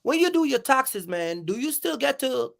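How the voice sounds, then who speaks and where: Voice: high-pitched, very animated, normal speed, vocal fry. A livestream host on an off-the-cuff rant, speaking emphatically and confrontationally and repeating phrases to drive points home. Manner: confrontational, challenging listeners. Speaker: live stream host.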